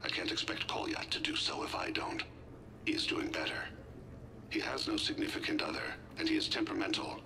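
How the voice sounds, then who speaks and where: Noisy backdrop, ailing alien assassin, game character, extremely low voice, breathy, formal, wry, fatherly. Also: huge pitch swings. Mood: wry, resigned. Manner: formal, measured, reflective. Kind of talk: video game acting, recorded personal message. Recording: clear background noise and ambience.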